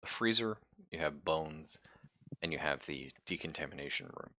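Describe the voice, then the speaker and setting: A man running a tabletop roleplaying game, improvising his descriptions haltingly as he thinks aloud. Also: rumbling low, lively intonation, sharp tone. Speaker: game master.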